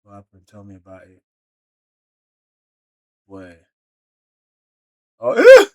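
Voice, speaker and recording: A man with a London British accent doing a casual, slangy manga read-along reaction, moving between animated exclamations and muttered asides. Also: slow pace. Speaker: reaction video creator.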